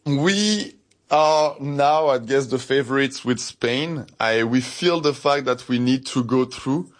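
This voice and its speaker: Earnest man, halting speech. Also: mid-range voice. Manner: earnest, slightly halting, searches for words. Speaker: interview guest, football fan. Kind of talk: casual interview chat.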